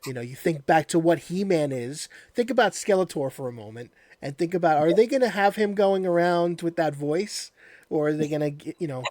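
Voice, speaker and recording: A man's voice: a little high, lively intonation, brisk, a little mellow, no echo, studio quality. A man hosting a casual interview, talking in an agreeable, enthusiastic fan's manner. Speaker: interview host. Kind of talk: casual chat.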